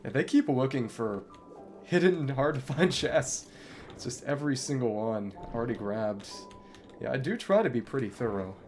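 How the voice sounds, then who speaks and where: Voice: medium pitch, expressive, normal speed, a little mellow, soft background music. A game streamer chatting casually over gameplay, thinking aloud in a wry way. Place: indoors at a computer.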